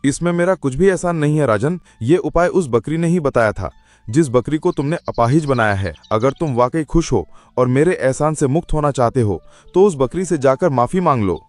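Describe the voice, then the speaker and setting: A man voicing a wise old male bear elder in a Hindi cartoon, calm and fatherly. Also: medium pitch, normal speed, no echo, faint music behind, lightly acted. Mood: advising. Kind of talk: cartoon voice acting.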